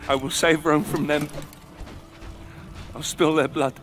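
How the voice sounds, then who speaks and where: A man playing an enraged young Roman soldier in a video game, vowing vengeance in a gravelly, forceful voice. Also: fairly high pitch, level tone, measured pace. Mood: vengeful resolve. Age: young adult.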